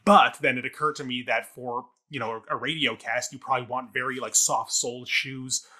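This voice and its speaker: Man, podcast co-host, very bright forward voice, average pitch, discursive and conversational. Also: steady tone, fairly quick, slightly rough. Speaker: theatre review podcast co-host. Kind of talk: casual review discussion.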